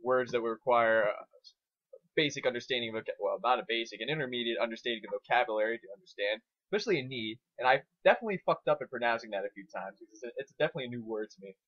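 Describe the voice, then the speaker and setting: A man's voice: medium pitch, racing, a bit bright. Male co-host, casual and opinionated reviewer, rambling and foul-mouthed, on a dull lo-fi recording. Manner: rambling, crude swearing. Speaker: co-host reviewing fan fiction.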